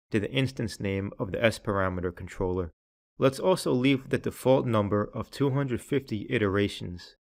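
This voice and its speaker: A man reading scripted tutorial narration in a measured, instructional way, with each phrase clearly enunciated. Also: medium pitch.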